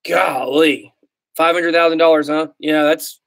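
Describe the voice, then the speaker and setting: A man's voice: on the high side, fairly even intonation, a little slow, vocal fry, fairly bright tone, no echo. Chatty Southern man with a dry sound. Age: 30s. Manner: rambling, chatty, self-deprecating, frequent fillers. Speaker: crypto livestream host. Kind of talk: casual livestream chat reading comments.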